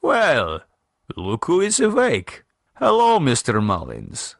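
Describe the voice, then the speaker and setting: Guy doing a smug gangster, gloating, slow and dry. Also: medium pitch, wildly swooping pitch, gravelly, fairly bright tone, no room sound, pitched above the performer's own voice, exaggerated voice. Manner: gloating, mocking. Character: smug menacing gangster in a suit.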